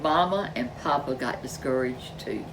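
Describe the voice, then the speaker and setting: A woman narrating a children's storybook in a deliberate, emphatic, expressive way. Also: rumbling low, noisy background.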